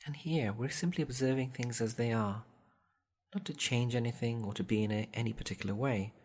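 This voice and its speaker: A man guiding a meditation in a gentle, soothing, measured way, leaving long pauses between instructions. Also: mid-range voice. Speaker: meditation guide. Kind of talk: guided body scan meditation.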